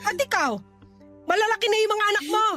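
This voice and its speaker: A woman's voice: very high-pitched, fairly quick, soft background music. A woman with a Filipino accent playing a stern, exasperated older mother, blunt and scolding, in a radio drama. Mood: stern, reproachful. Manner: scolding, sharp, emphatic.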